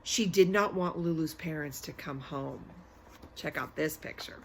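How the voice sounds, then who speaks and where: A woman's voice: low-pitched, measured pace, slight background noise. A woman reading a children's story aloud dramatically, with chatty asides and questions to her listeners.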